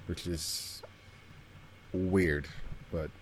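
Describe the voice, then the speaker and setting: A man's voice: low voice, fairly even intonation, drawn-out words, a little hiss or hum. A man co-hosting a podcast in loose, casual chat about pop culture. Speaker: podcast co-host.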